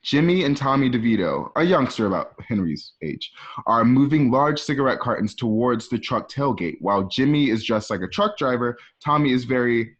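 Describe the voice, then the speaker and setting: A man's voice: mid-range voice, animated, normal speed. A man narrating scripted scene directions for an online radio drama, now and then stumbling and correcting himself as he reads. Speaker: narrator reading screen directions. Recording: dull lo-fi home recording.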